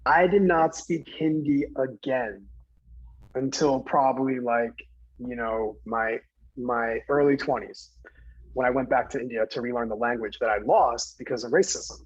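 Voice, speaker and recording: A man from New Jersey earnestly recounting a personal story in conversation, halting, with many fillers and restarts. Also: mid-range voice, husky, dull audio. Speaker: guest speaker.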